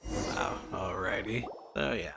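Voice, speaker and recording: A man streaming a game drops short, murmured reactions. His voice is creaky and very slow, with loud music and crowd sound behind him. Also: low-pitched. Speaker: gameplay streamer. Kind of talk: sparse casual let's play reactions.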